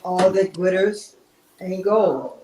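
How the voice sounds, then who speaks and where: A woman's voice: on the low side, slow, mellow. Woman guest with brief answers, echoey room. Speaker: talk show guest from a stage play. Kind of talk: answering interview questions.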